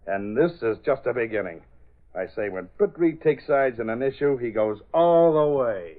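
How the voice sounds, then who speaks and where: An actor playing a smug, emphatic gambling-racket boss in an old radio drama. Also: male voice, medium pitch, lively intonation, moderate pace, narrow, tinny audio, lightly acted. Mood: smug, gloating. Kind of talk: 1930s-40s radio drama acting. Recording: band-limited old radio broadcast recording.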